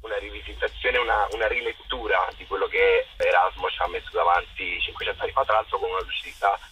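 A young man explaining his theatre work in an interview, haltingly, restating phrases as he searches for words. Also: low-pitched, fairly even intonation, pushed and bright, slight background noise, poor audio. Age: young adult. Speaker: theatre maker being interviewed.

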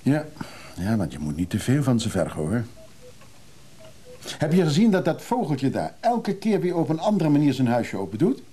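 An actor playing a restless, evasive recovering drinker in a radio play, hesitant and confiding. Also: male voice, medium pitch, wildly swooping pitch, moderate pace, mellow, slightly rough. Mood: musing, tender. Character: restless recovering drinker, evasive man who craves freedom. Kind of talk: naturalistic Dutch radio drama acting.

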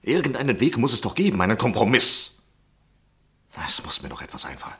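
An obsessed gentleman scholar in an old radio drama. His voice is very low and slightly rough. He broods, questions and justifies himself with restless, evasive hesitation. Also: huge pitch swings, brisk, full character voice. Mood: troubled, obsessive. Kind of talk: 1960s German radio play acting and narration.

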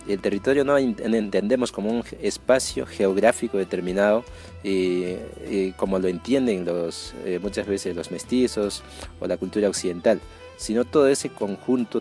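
Deep-voiced man from Peru. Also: warm tone. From Amazon region of northern Peru. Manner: halting, searching for words. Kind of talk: spoken testimony, explanatory.

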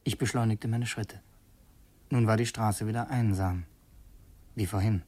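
An actor playing an earnest, brooding revolutionary who narrates in an intense, halting way in a radio play. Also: male voice, fairly low pitch, steady tone, a little mellow. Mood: reflective. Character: earnest, brooding male revolutionary narrating his secret errand. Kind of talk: radio play, first-person dramatic narration.

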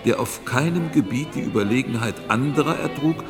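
An actor playing a stuffy, self-righteous chess club chairman in a German radio play, deliberate and judgmental. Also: medium pitch, over music, close to an everyday voice. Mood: disapproving.

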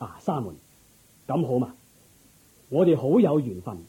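Guy doing a dignified friendly king, radio play, super mellow raspy voice, theatrical. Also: mid-range voice, unhurried, heavily acted, poor audio. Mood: gracious, amused. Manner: stately, expressive, theatrical. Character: genial, dignified king, curious, worldly and courteous. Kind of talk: Cantonese Buddhist radio drama acting.

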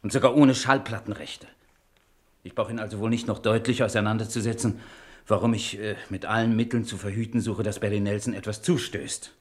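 An actor playing a worried, self-interested show-business manager who speaks deliberately and emphatically in a radio crime drama. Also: male voice, fairly low pitch, steady tone, natural voice. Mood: worried, businesslike.

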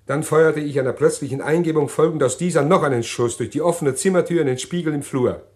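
A man acting in a German radio crime drama, playing a desperate, cold-blooded condemned killer who confesses in deliberate detail and slips into agitated pleading. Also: fairly high pitch, a little mellow, lightly acted. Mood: cold, intent confession.

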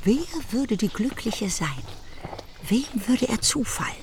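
A woman's voice: fairly high pitch, a little slow, background noise. A woman narrating a literary radio drama from a script.

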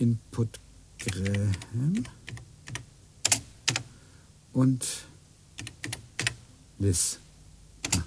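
Brooding engineer, gravelly, muttering, lively pitch, radio play. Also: male voice, noisy background. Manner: muttering to himself, growing agitated.

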